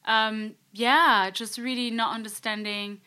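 A woman's voice: on the high side, fairly even intonation, takes it slowly, bright, forceful tone, clear, resonant tone. A young woman from France, a life coach, chatting casually as an interview guest with many fillers and quoted thoughts.

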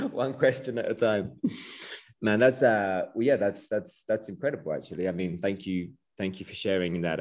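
Male podcast host, low voice, earnest, effusive, rambling questions. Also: a little mellow. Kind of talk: casual podcast interview.

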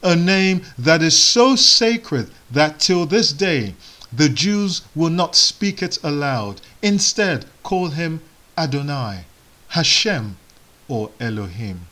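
A man whose first language is Igbo, giving a sermon in slow, measured phrases with solemn pauses. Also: on the high side, expressive, pushed and bright, rough recording. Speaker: preacher.

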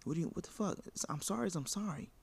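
A man's voice: on the high side, expressive, rapid-fire, vocal fry, darkish tone, slight background noise. A man arguing his point in a heated casual conversation, blunt and profane.

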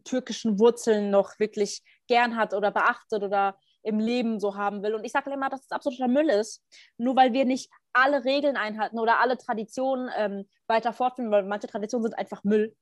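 A woman's voice: on the high side, steady tone, fairly quick, no echo, clean studio sound. A young woman chatting casually in youth slang, bluntly arguing her opinions at length. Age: young adult. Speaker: participant in a group talk.

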